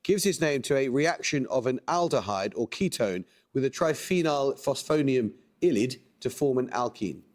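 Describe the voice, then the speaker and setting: A man hosting a quiz show, reading questions and introductions with a measured, precise delivery. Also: medium pitch, lively intonation, a little mellow.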